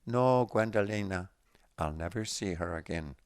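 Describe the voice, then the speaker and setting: A man's voice: fairly low pitch, moderate pace, dry recording, clean studio sound, natural voice. A man voicing a resigned, cynical, heartbroken young Mexican immigrant in a story. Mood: grim resignation. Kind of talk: storybook dialogue reading.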